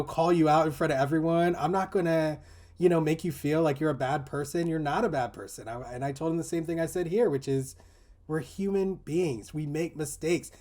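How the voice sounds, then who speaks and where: A man's voice: on the high side, darkish tone. A man talking in a casual, reflective discussion, explaining earnestly and often dropping in "you know" and "like". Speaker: teacher in a discussion group.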